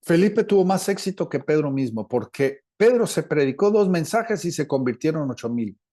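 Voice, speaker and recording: A man with a Latin American Spanish accent preaching a teaching sermon, deliberate and repeating phrases for emphasis. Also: on the high side, big pitch swings, mellow. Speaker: preacher, apostle.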